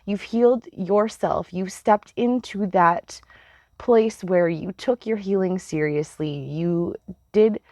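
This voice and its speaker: Slow, chatty spiritual reader woman. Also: medium pitch, a little mellow, dry recording, studio quality. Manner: chatty, meandering, laughs often, swears casually. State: slightly hoarse. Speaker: tarot reader. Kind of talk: casual spiritual reading.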